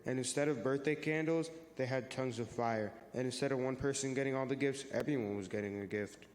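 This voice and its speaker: A man's voice: medium pitch, fairly quick, darkish tone, close to an everyday voice. A man playing an earnest, friendly youth who explains a church story to friends in a reader's theater. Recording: echoey hall with long reverb.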